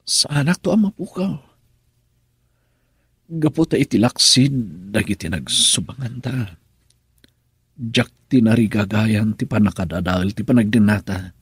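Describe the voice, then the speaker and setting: An actor playing a troubled, lovelorn young husband in a radio drama, speaking in a raspy, halting, emotional way. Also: male voice, fairly low pitch, expressive, no echo, studio quality, exaggerated voice. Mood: anguished.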